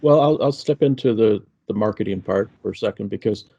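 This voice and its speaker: A man's voice: fairly low pitch, brisk, mellow, dull audio. A middle-aged man with a Canadian accent, speaking conversationally on an online panel and often checking in with "okay?". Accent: Canadian English.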